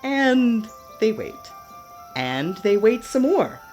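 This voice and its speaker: A woman's voice: fairly high pitch, big pitch swings, slow pace, darkish tone. A woman narrating a radio play from a script, setting out each scene as storyteller. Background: music.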